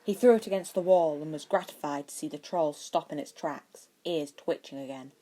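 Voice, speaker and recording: A woman's voice: deep voice, normal speed, mellow. A woman narrating a scripted story as an amateur audiobook reader.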